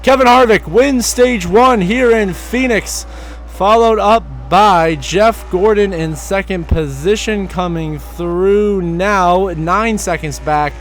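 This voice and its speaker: A hoarse young man doing play-by-play race commentary as a voiceover. His calls rise with excitement at crashes and passes. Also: high voice, slow pace, soft background music. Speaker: sim racing commentator.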